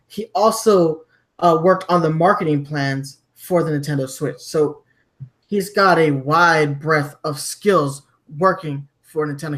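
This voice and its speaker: A man from Germany hosting a podcast, discussing news conversationally with halting, repeated word starts. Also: a little high. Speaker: podcast host.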